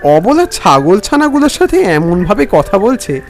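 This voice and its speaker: A man narrating a scripted first-person story in a dramatic, expressive way. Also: high voice, a little slow, faint music behind.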